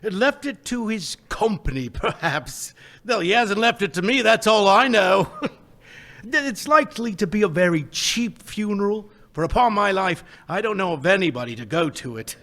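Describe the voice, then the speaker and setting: A man's voice: high voice, big pitch swings, normal speed, fairly bright tone. A man playing a chuckling, mocking businessman. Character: gossiping, laughing businessman. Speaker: radio play actor. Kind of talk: live radio play acting.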